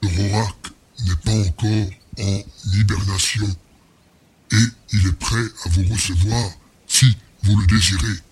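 Man voicing a husky, massive alien servant. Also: lowish voice, fairly even intonation, unhurried. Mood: deferential, eager. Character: massive alien servant, excited, deferential, fighting off hibernation. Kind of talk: audio drama science fiction acting.

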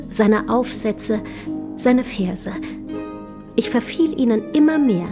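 A woman's voice: mid-range voice, gravelly, warm tone. An actress in a German radio play voicing an eager, self-assured young woman of a rich family, speaking formally and articulately. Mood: devoted, reflective.

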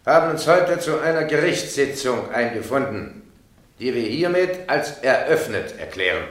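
An actor playing a stern bishop presiding over a heresy trial, speaking in a solemn, formal, commanding way. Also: male voice, medium pitch, expressive, normal speed, a bit bright, reverberant, close to an everyday voice. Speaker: presiding judge.

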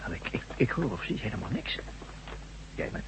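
A man acting a hospitable but wary and pragmatic, excitable friend in a radio play. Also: on the low side, normal speed, creaky. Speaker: actor in a radio drama. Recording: hissy, humming radio recording.

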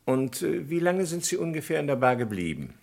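A man's voice: on the high side, big pitch swings, fairly quick, creaky, a little mellow, heavily acted. A man playing an inquisitive, keen and polite investigator in a German radio crime drama of the mid twentieth century, with probing, theatrical inflection. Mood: politely probing.

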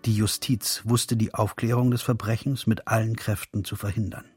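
Grave Russian dissident, lowish voice. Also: male voice, little pitch movement, moderate pace, a little mellow, husky, soft background music. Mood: bitter, sober. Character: grave, bitter Russian dissident intellectual, former naval officer. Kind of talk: radio drama monologue.

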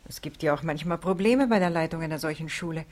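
An actress playing an older, self-assured headmistress, measured and matter-of-fact, in a German radio play. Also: female voice, deep voice, a little mellow, close to an everyday voice. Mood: hopeful, planning.

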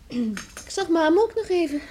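An actress in a radio play playing a hopeful young farmer's daughter, eager and earnest in manner. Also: female voice, very high voice, sing-song, measured pace, dark tone, heavily acted. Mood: slightly indignant. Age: young adult. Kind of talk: Dutch radio play acting. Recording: noisy old radio play recording.

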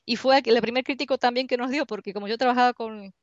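A woman's voice: fairly high pitch, fairly even intonation, fast talker, no echo. A woman with an Argentine accent, a university researcher giving an online seminar presentation in a conversational way, searching for words as she goes. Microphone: computer mic on a video call. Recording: dull lo-fi online call audio.

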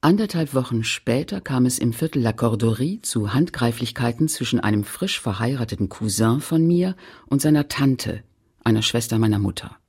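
A man's husky voice with a gravelly creak the narrator of a memoir in a radio play. He recites in a measured, grave and confessional manner, sober throughout. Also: mid-range voice, lively intonation, a little mellow. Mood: sober recollection. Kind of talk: literary radio play narration, switching between languages.

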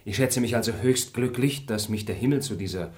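An actor playing a dignified young male traveller who speaks in a calm, measured, courteous way. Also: medium pitch, natural voice. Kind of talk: radio play acting.

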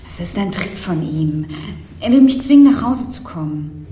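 Actress as defiant young runaway woman, dark mellow timbre, curt, distrustful, radio crime drama, echoey room. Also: lowish voice, lively intonation, normal speed, natural voice. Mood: defiant, bitter. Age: young adult. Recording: echoey room with faint background noise.

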